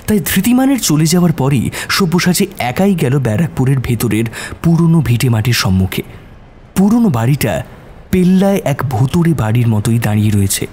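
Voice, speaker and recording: A man's voice: on the high side, a little mellow, a little hiss or hum. A man narrating a scripted suspense story in a dramatic style.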